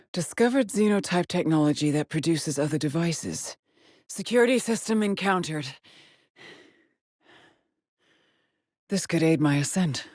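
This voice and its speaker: Husky, haunted space explorer. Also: female voice, low-pitched, a little mellow, dry recording, clean studio sound. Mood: eerie, reflective. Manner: measured, solemn, haunted, often breathy. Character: weary, determined lone female space explorer haunted by memories. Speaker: video game voice actor. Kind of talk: dramatic game voice lines, short log entries.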